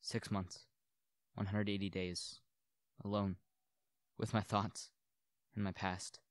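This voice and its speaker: A man's voice: fairly low pitch, flat delivery, slow, no echo, studio quality. A young man playing a brooding, grieving widower and devoted husband in a solemn, theatrical radio play. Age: young adult. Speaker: student actor. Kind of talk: radio play acting and monologue.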